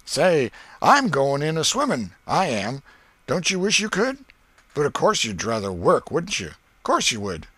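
A man's voice: on the high side, wildly swooping pitch, measured pace, fairly bright tone, breathy edge, no room sound, pitched above the performer's own voice, exaggerated voice. A man voicing a cocky, needling young boy with a folksy dialect, in an audiobook story. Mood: taunting. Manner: folksy, teasing boyish dialect. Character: cocky, needling boy who turns eager and wheedling.

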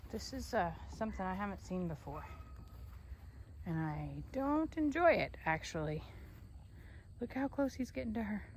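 A woman's voice: mid-range voice, fairly bright tone, a little hiss or hum. Chatty woman scolding her farm animals. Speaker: ranch owner filming her animals. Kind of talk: casual off-camera narration. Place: outdoor pasture.

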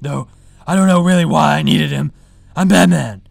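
A man playing a brooding, boastful masked superhero in a parody vlog, with a raspy growl and a deadpan, self-important delivery. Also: a little high, normal speed, no echo, faint music behind. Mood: smug. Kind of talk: parody vlog monologue to camera.

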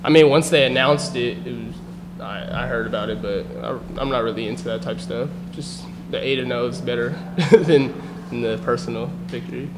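Humble young running back, stammering. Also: male voice, medium pitch, brisk. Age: young adult. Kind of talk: postgame press conference answers. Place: press conference room, indoors. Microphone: table microphone. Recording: loud background hum.

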